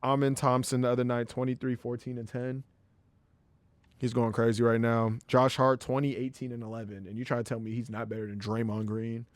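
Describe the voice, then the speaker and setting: A man co-hosting a casual sports podcast, talking fast and getting animated, his voice jumping up high when excited. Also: dry recording. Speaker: podcast co-host.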